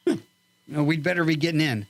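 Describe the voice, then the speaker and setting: A man's voice: a little high, fast, close to an everyday voice. A man playing a matter-of-fact military doctor in an old-time science fiction radio drama. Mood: reflective. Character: matter-of-fact military base doctor, gently clinical, curious.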